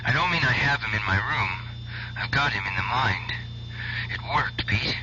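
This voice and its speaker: An actor in a radio drama playing a defiant, grieving young nightclub performer obsessed with revenge, intense and insistent. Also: male voice, mid-range voice, moderate pace, pushed and bright. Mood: feverishly excited. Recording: dull, noisy old radio recording.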